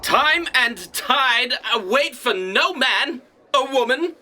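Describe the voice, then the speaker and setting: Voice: animated, slow pace, pushed and bright, exaggerated voice. An actor playing a pompous, exacting alien director with a thousand legs, barking sarcastic commands in a comedic sci-fi audio drama. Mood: imperious, sarcastic. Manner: theatrical, imperious, sarcastic, booming commands.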